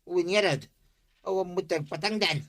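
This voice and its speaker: An actor playing an excitable, hard-up middle-aged village man with an Ilocano accent, pleading and complaining in a radio comedy drama. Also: high-pitched, moderate pace. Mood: weary, complaining.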